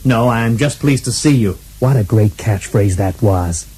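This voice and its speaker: A man playing a deadpan, wry American detective narrating a comedy radio play, with an American accent. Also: fairly low pitch, a little slow, breathy edge, rough recording. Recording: radio taped to cassette, faint music.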